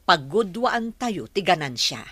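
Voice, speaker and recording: A woman playing a kindly, husky-voiced lady teacher in a radio drama. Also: on the low side, sing-song, measured pace, fairly bright tone, no room sound, heavily acted. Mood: helpful. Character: kindly lady teacher offering a business partnership.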